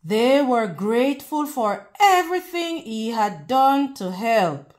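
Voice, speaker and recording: A woman with a fairly high voice reads a children's picture book aloud very slowly. She is teacherly, speaking directly to young listeners and prompting them with questions as she goes. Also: sing-song, darkish tone. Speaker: read-aloud host.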